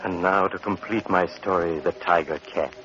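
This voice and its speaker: An actor playing a suave, sinister doctor who hosts eerie tales with ominous, mock-courteous theatrical narration in 1940s radio style. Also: male voice, deep voice, steady tone, normal speed, sharp tone. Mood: ominous narrating. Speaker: host and narrator. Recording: muffled, noisy, crackly 1945 radio recording.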